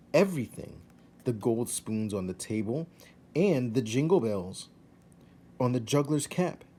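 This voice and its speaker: A man narrating a children's story aloud expressively, with measured delivery, dramatic emphasis and hushed asides. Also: medium pitch. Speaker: teacher reading a children's novel aloud.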